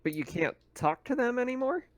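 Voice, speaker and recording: A man's voice: very high voice, sing-song, brisk, slight background noise. A man playing a tabletop game, casually asking questions in character.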